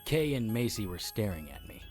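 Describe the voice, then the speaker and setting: A defiant, hard-boiled investigator narrating an audio drama. His voice is on the low side and matter-of-fact as he tells of battle. Soft music runs behind him. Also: big pitch swings, normal speed. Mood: determined. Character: tough, defiant male private investigator, sword-fighting monster hunter.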